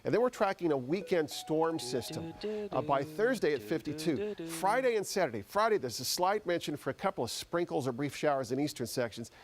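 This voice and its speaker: A man's voice: a little high, expressive, a little fast. American man giving a conversational weather forecast. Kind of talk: live unscripted weather forecast.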